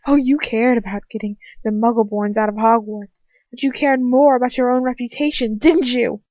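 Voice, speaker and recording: A woman's voice: a little high, fairly even intonation, moderate pace, a little mellow, close to an everyday voice. A woman voicing a furious, anguished teenage girl captive in raw emotional outbursts for an amateur audiobook. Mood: accusing. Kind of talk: amateur fan fiction audiobook acting. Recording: muffled roomy home recording.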